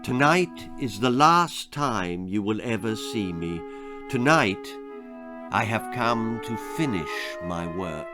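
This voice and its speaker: A man voicing an old, sinister hooded spirit in a soft, hushed voice, speaking slowly and with menace for a children's horror story. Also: fairly low pitch, animated, over music. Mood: grave menace.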